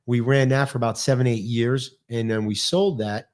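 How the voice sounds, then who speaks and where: A man in his fifties with a New York accent, talking fast and with lots of energy as a guest on a podcast. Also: mid-range voice, fairly even intonation, a little mellow. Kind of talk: casual interview chat.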